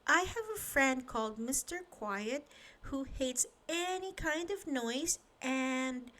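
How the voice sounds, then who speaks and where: A tiny, shy storybook girl offering a hesitant idea, played by a woman. The voice is lifted a little higher and kept soft and careful, slow in pace. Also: animated, heavily acted. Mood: timid. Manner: timid hesitant.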